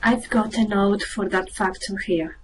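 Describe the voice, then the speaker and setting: A woman's voice: medium pitch, steady tone, a little slow, slight background noise, natural voice, poor audio. A woman playing a level-headed, dryly unimpressed space traveler in a radio play, matter-of-fact in manner. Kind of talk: amateur radio play acting.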